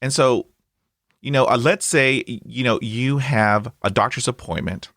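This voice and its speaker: A man's voice: medium pitch, unhurried, a bit bright, no echo, studio quality. A man hosting a podcast interview, stuttering heavily with blocks and repeated sounds, upbeat and chatty with lots of fillers. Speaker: podcast host. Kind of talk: conversational interview.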